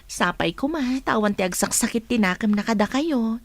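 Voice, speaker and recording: A woman's voice: on the high side, expressive, normal speed, dry recording. A woman playing a teasing, nosy office colleague in a radio drama. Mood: prying, playful. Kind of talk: Ilocano radio drama acting.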